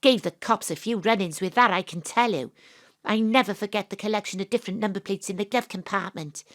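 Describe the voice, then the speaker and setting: A woman acting in an audio drama as a spirited, plain-spoken character revisiting her childhood, with a Welsh accent and an exclamatory, expressive delivery. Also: mid-range voice, a little fast, close to an everyday voice. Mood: nostalgic.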